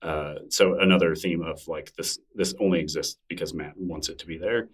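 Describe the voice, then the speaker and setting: A middle-aged man with a deep, low voice chats as a podcast guest. He talks in a loose, self-deprecating way, often restarting his sentences. He sits a little off the mic. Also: moderate pace, husky, far from the mic. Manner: relaxed, rambling, self-deprecating with frequent restarts. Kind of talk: casual interview conversation.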